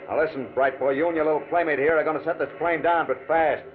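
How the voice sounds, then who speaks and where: A man's voice: fairly high pitch, steady tone, fast talker, natural voice. Man playing a brash gangster boss, tinny old radio. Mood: menacing. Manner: brash, commanding, slangy. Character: bullying gangster boss, gloating and menacing. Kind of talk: 1940s radio acting. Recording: noisy, tinny 1940s radio recording.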